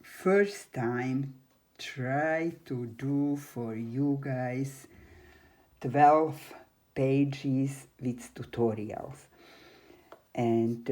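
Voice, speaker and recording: A woman in her seventies hosting a scrapbook craft tutorial, speaking halting non-native English in a chatty, unhurried way. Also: very deep voice, dark tone. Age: 70s. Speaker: crafting channel host.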